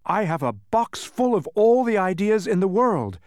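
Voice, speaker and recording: A man voicing an earnest young boy hero in a storybook, quick and pleading in manner. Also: high-pitched, wildly swooping pitch, no echo, studio quality, voice pushed up. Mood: proud.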